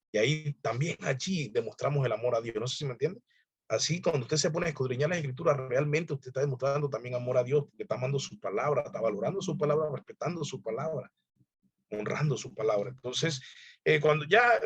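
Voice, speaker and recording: A man's voice: mid-range voice, no echo. Man teaching a church class, conversational. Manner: digressive, asks the listener questions, chuckles often. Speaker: pastor teaching a theology class. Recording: dull dry lo-fi sound.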